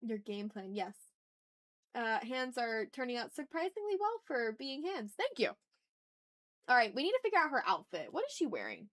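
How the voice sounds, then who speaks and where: A woman's voice: fairly high pitch, very animated, moderate pace, a bit bright. A woman hosting an art livestream, chatting informally and candidly, thinking aloud as she draws and swearing freely. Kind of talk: casual chat while drawing on stream.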